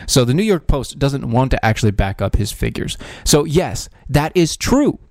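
A man's voice: medium pitch, big pitch swings, moderate pace, gravelly, a little mellow, no room sound, clean studio sound. A man hosting a podcast, giving casual political commentary in a sarcastic, ranting way with frequent restarts and fillers. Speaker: podcast host.

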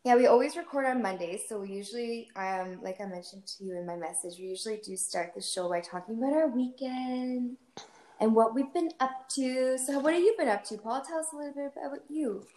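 A woman's voice: a little high, a little fast, a little mellow. A woman from Ontario co-hosting a podcast, chatting bluntly and casually. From Ontario, Canada. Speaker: podcast co-host. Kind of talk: casual chat. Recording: echoey room with faint noise.

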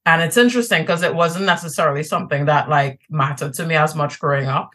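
A woman's voice: sits low, quick pace, a bit bright. A Trinidadian woman in a casual podcast interview, chatty and easygoing, with many fillers and restarts. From Trinidad and Tobago. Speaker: podcast guest.

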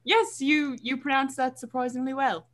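A woman's voice: high-pitched, normal speed, a bit bright. A woman voicing a chirpy, naive young woman student who asks wide-eyed questions, as an improvised comedy podcast bit.

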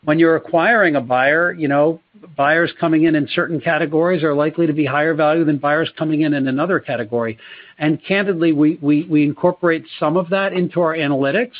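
A man speaking as a company executive answering questions on an earnings call, in a measured way with repeated words. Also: a little high, dry recording. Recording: dull, dry conference call audio.

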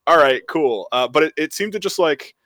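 A man's voice: fairly high pitch, expressive, normal speed, fairly bright tone, dry recording, studio quality. A man on a podcast panel chatting casually in a rambling way, saying "like" a lot. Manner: rambling, peppers talk with "like". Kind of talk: casual chat.

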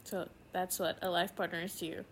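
A woman hosting a casual podcast chat, relaxed, with lots of fillers and easy laughter. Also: on the low side, brisk. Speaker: podcast host. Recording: faint background noise.

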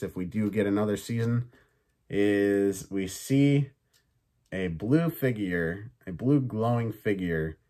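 Man with a slightly raspy, sore voice. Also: on the low side, a little mellow. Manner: loose and chatty, swears, many fillers. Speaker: podcast host reviewing films and shows. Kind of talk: casual review chat.

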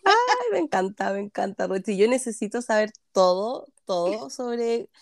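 A woman's voice: medium pitch, very animated, measured pace, gravelly, dry recording, clean studio sound. A Chilean woman with a Chilean Spanish accent, a podcast guest who is bubbly, enthusiastic, and laughs often. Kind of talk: casual chat.

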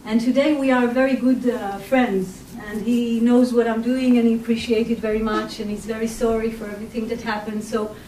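Soft-spoken Israeli woman in an echoey hall. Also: on the high side, level tone, a little fast, hardly any pauses, a little hiss or hum. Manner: hesitant, many fillers, soft-spoken. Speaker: workshop speaker. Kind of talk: personal talk to an audience. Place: community hall. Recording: echoey hall, mic at a distance.

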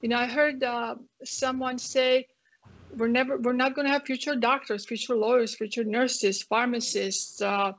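A woman's voice: a little high, fairly even intonation, normal speed, gravelly. A woman from Croatia with a Croatian accent, a physician guest speaking earnestly with many fillers and restarts. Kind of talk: panel discussion. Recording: muffled lo-fi audio.

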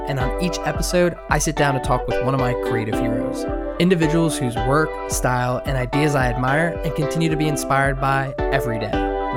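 A man speaking as the host of an interview podcast. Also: medium pitch.

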